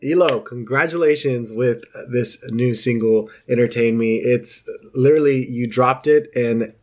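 A man's voice: mid-range voice, a little slow, dull audio. A man interviewing a singer, chatty and upbeat, asking long, rambling questions.

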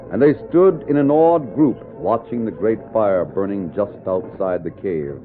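Deep vintage radio narrator. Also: male voice. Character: dramatic narrator of a western radio serial. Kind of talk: old-time radio scripted narration. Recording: narrow-band old radio with music bed.

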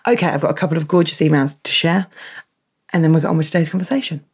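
A woman hosting her podcast from a small box room at home, chatty and croaky in the early morning. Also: low voice, racing. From England. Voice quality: husky. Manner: chatty, warm thanks and asides. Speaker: podcast host. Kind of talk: casual podcast intro.

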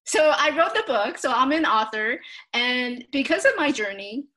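Woman, very bright tone, somewhat high pitch, earnest personal storytelling. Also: lively intonation, moderate pace, rough recording. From Taiwan, moved to the United States at fifteen. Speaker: podcast guest, author.

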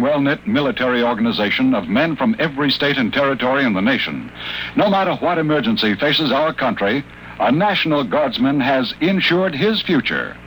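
A man's voice: medium pitch, a bit bright, low-quality recording. A man delivering an emphatic, scripted advertisement in 1950s radio announcer style. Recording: old radio recording with faint hum.

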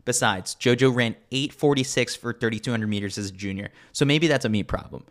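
A man's voice: mid-range voice, fairly quick. A man reading a scripted sports newsletter as a podcast host, with a wry, tongue-in-cheek manner.

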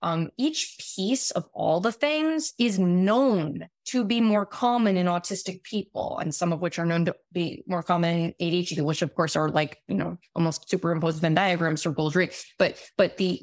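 A woman in her thirties with a slightly raspy voice talks through ideas on a podcast, circling back with fillers and restarts as she explains. Her voice sits on the low side, recorded close and dry. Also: normal speed, few pauses, a little mellow, no echo. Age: 30s. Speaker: guest physician. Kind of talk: casual podcast conversation.

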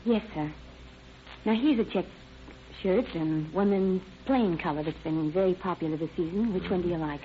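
An actress playing a polite, anxious but firm young shop clerk in an old radio drama. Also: female voice, lowish voice, normal speed, highs cut off, lightly acted. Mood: helpful. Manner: courteous, apologetic, earnest. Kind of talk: 1940s radio crime drama acting. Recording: thin band-limited old radio recording, hiss.

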